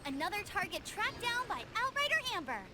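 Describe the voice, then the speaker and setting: Very high-pitched, eager young adventurer. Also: female voice, very animated, brisk, very noisy. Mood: bright, excited. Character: cheerful, eager young female adventurer from a fantasy game. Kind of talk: video game voice acting.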